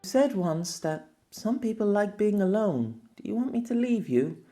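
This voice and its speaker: Maka, a soft-spoken young woman, as a man puts her on. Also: sits high, big pitch swings, moderate pace, faint music behind, voice pushed up. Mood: wistful. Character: earnest young woman, tender and sad.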